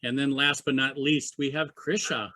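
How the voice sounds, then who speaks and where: A man's voice: mid-range voice, steady tone, normal speed, pushed and bright, no room sound. A man moderating a video call, introducing the speakers with brief, friendly interjections.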